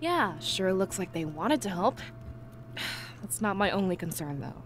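An actress playing a sharp-witted, skeptical female beast fighter in a fantasy game. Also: medium pitch, sing-song, measured pace, soft background music. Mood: wary skepticism.

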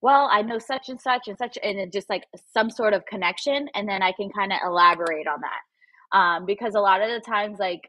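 A Spanish-speaking woman in her thirties from Puerto Rico, giving advice in a lively video-call interview through earbuds. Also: medium pitch, brisk, rough recording. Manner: animated, acts out quoted voices. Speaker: guest photographer and coach. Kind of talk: casual livestream interview. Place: room at home. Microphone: wireless earbuds, video call.

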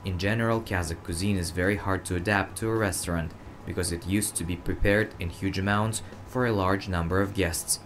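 A man with a very low voice explains his craft as an interviewed chef. A faint ambient noise sits behind him. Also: fairly even intonation, a little fast, fairly bright tone.

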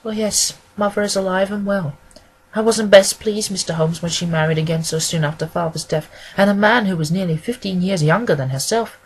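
A reader puts on a good-humoured young woman in a classic storybook. She is nervous and deferential, rambling earnestly through her account. Her voice is slightly husky and lightly pitched. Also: steady tone, fairly quick, poor audio. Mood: chatty, earnest. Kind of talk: acted audiobook dialogue.

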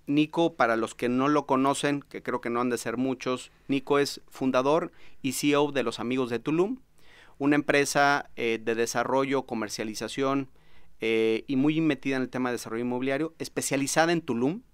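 A man's voice: medium pitch, fairly even intonation, a little fast, clean tone, no echo. A man in his forties with a Mexican accent, hosting a conversational interview podcast at a studio microphone. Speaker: podcast host. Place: small padded podcast studio, indoors. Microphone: studio microphone with headphones.

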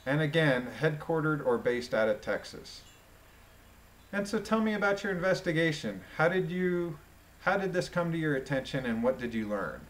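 A man reading a court transcript aloud as questions and answers, deliberately, with informal delivery as a commentator. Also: a little high, rough recording.

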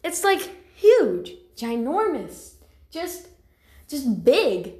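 A woman voicing a friendly, excitable young female house cat with an earnest, slightly hesitant delivery, in amateur cartoon voice lines. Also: a little high. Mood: excited, admiring. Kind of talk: amateur character voice lines, acted. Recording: echoey room.

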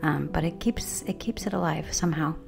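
A chatty middle-aged woman with a low, gravelly voice talks through a cooking vlog. She is friendly, easygoing and drifts off topic before pulling herself back, with soft music faint underneath. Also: fairly quick. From Canada. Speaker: cooking vlogger. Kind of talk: casual cooking vlog, talking to camera and voice-over. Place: outdoors by a brick wall.

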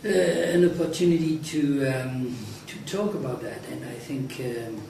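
A man's voice: medium pitch, measured pace, creaky. A man being interviewed, answering thoughtfully with many ums and restarts. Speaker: interview guest. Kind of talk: slow, thoughtful conversation. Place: meeting room, indoors. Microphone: far camera mic. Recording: echoey room, distant mic, background noise.

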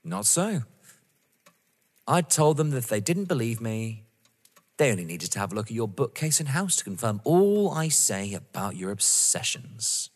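A man's voice: medium pitch, sing-song, normal speed. An actor playing a mocking, vengeful young man in an audio drama, theatrical and savouring each word. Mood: coolly confiding. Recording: reverberant hall-like room.

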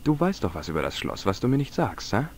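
Trockener junger Abenteurer mit tiefer Stimme. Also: male voice, lowish voice, sing-song, fast, slight background noise. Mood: probing. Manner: dry, ironic. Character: wry, persistent young male adventurer questioning locals. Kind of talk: video game voice acting.

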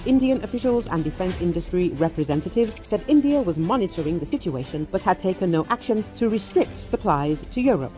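A woman narrates the news in a lower register, keeping a steady, measured newsreader cadence. Faint music plays under her voice-over. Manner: even, measured newsreader delivery. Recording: music bed behind voice-over.

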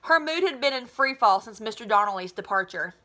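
A North American woman in her thirties reads a children's novel aloud. Her voice is high-pitched and clearly bright. She delivers it expressively, in the manner of a teacher reading to students. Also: animated, fairly quick. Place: small living room at home, indoors.

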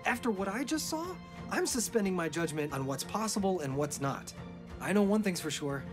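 A man's voice: high voice, lively intonation, normal speed. An earnest father and marine biologist played by a man argues his ideas with passion. His intonation swings widely, and music plays under this audio drama dialogue. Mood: determined. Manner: impassioned, persuasive. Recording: music behind the voice.

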